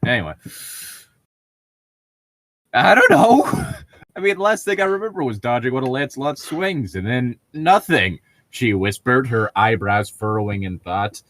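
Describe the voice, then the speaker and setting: A man's voice: mid-range voice, sing-song, measured pace, a bit bright, no room sound. A man reading fan fiction aloud in a casual group, breaking off for sarcastic, nitpicking comments.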